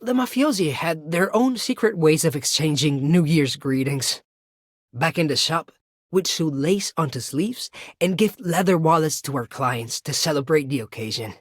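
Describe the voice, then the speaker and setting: A woman's voice: low-pitched, expressive, normal speed, no room sound, clean studio sound. A woman playing a courteous, composed tailor from mafia circles, formal and restrained, in a game. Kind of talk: scripted game character greeting.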